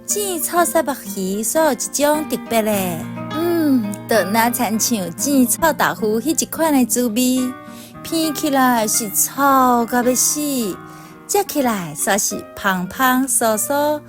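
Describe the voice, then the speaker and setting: A woman's voice: sits high, expressive, takes it slowly. A woman with a Taiwanese accent reading a personal essay as scripted storytelling narration. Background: soft instrumental music.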